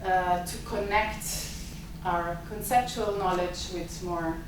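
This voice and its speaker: A woman's voice: fairly low pitch, steady tone, slow, noisy background. A woman whose first language is Italian, giving hesitant opening remarks at an academic symposium, with many filler sounds. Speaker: symposium host and lab director. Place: university seminar room. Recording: echoey lecture room, mic far off.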